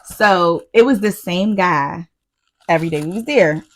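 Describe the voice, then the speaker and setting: An American woman co-hosting a podcast, telling stories casually in slangy, profane, animated talk with quoted asides. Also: on the low side, measured pace. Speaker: podcast co-host. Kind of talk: casual chat, storytelling.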